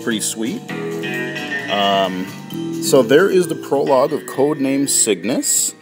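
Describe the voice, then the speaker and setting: A man casually talking through an audio game on his phone, relaxed and chatty with plenty of fillers. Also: medium pitch, takes it slowly, over music. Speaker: game reviewer. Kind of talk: casual game walkthrough commentary.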